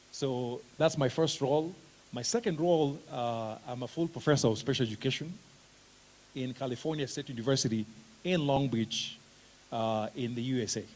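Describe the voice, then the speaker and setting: A middle-aged Kenyan man with a Kenyan accent giving a relaxed, joking conference talk as a professor of special education, with plenty of "uh" fillers. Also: medium pitch, slight background noise. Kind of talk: conference talk, self-introduction. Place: conference hall.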